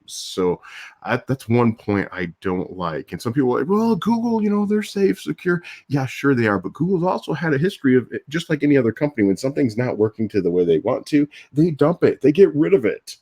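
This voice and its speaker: An older man with a low voice, hosting a casual morning live stream and chatting in a rambling way, chuckling between thoughts. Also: very animated, brisk, darkish tone. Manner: rambling, chuckles often, restarts. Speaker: YouTube host. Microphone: different audio device that lowers voice.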